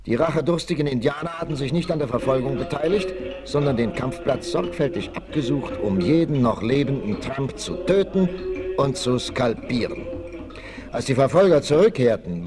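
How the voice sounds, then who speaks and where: A man's voice: mid-range voice, lively intonation, moderate pace, crowd noise. A man narrating a 1960s adventure audio play in a dramatic, suspenseful, emphatic scripted style. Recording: noisy 1968 vinyl transfer.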